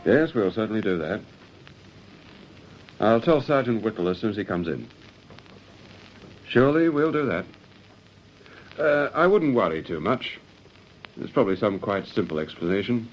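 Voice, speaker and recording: An actor playing a polite, dutiful junior police officer in a radio drama. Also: male voice, fairly low pitch, big pitch swings, moderate pace, pauses often. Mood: polite, reassuring. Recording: muffled old radio recording, faint noise.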